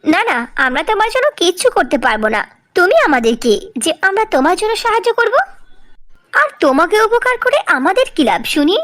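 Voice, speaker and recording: A woman's voice: very high-pitched, sing-song, normal speed, fairly bright tone, full character voice. A woman voicing a quarrelsome, outspoken young village sister in a cartoon, with a blunt and insistent manner. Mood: dismissive. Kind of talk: cartoon storybook dialogue.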